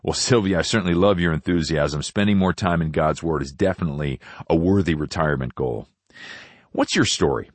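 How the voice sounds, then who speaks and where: A man hosting a radio Bible program, reading listener letters in an earnest, measured way. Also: deep voice, dry recording.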